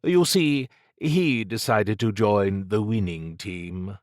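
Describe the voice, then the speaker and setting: A man's voice: fairly low pitch, slow pace, no echo. An urbane, androgynous schemer with an upper-class English accent, acted by a male narrator in an audiobook. The delivery is arch, smug and needling, lifted a little and very close and dry. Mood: smooth, smug. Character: slim androgynous upper-class occult schemer, smugly amused.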